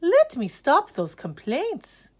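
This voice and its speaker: A woman voicing a kindly, excitable female art teacher in a children's story, exclaiming and encouraging. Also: sits high, takes it slowly, pitched above the performer's own voice, heavily acted. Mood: bright encouragement.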